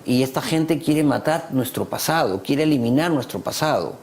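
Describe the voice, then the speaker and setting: A man's voice: mid-range voice, expressive, normal speed, creaky, a little mellow. A Peruvian man with a Peruvian Spanish accent speaking as a guest on a talk show, emphatic and proud, repeating phrases to drive his points home. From Peru. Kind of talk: passionate talk show discussion.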